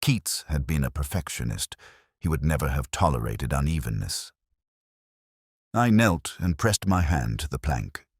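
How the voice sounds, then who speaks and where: A man playing a melancholy, reflective police inspector who narrates a case in a measured, literary way, as in a scripted audio drama. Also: very deep voice, very animated, husky, no echo, clean studio sound, exaggerated voice. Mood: somber, reflective.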